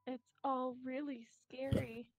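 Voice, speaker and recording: A woman acting a timid, high-voiced anime girl. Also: flat delivery, a little mellow, no room sound, faint music behind. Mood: frightened, confused. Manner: halting, drawn-out, timid. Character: anxious, hesitant, sad teenage anime girl.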